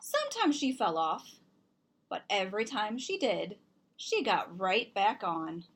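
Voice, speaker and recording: A woman reading a children's picture book aloud, expressively and deliberately, with emphasized words. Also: medium pitch, huge pitch swings, a bit bright, breathy edge. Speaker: storybook reader and host.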